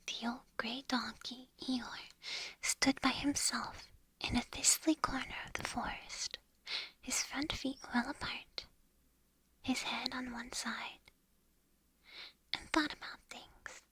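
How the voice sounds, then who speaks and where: A woman softly whispering a storybook aloud on camera, often breaking into giggles, in an ASMR style. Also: sits high, steady tone, takes it slowly, long pauses, low-quality recording. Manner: soft whispering with frequent giggles. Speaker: storybook reader. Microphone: on camera from the book up.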